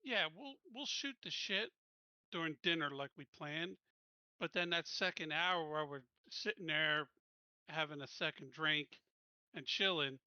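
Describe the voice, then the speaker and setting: A man's voice: on the high side, unhurried, sharp tone, clean tone, no echo, lo-fi sound. A man playing a tabletop roleplaying game, chatting casually and thinking plans through aloud.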